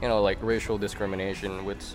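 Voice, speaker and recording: A young man from the Philippines, a student, speaking in a measured way outdoors on campus and often saying "you know". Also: on the low side, level tone, faint music behind. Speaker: student interviewee.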